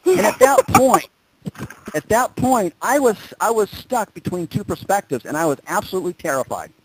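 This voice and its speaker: A man speaking as a motivational presenter, telling personal stories and driving points home with emphatic repetition and dramatic pauses. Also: sits high, big pitch swings, noisy background. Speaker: motivational speaker presenting on a group conference call. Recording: conference call audio.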